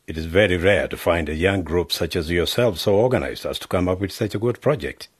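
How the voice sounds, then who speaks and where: An actor playing a vain, pompous member of parliament who insists on being called honorable, in a radio drama. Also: male voice, low voice, a little fast, slightly rough, no echo, studio quality. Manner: pompous, insists on his title. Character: vain smooth-talking male politician, middle-aged.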